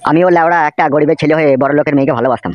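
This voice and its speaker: A man's voice: fairly high pitch, rapid-fire, no room sound, lo-fi sound, close to an everyday voice. A man voicing a blunt, foul-mouthed, world-weary young henchman in an amateur comedy cartoon. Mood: bitter storytelling. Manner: blunt, swearing, rural colloquial talk.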